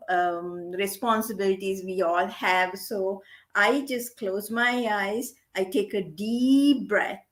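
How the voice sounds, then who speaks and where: A middle-aged woman giving a gentle, earnest guest lecture on meditation, repeating her key points. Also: mid-range voice. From Sri Lanka. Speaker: guest lecturer, medical doctor.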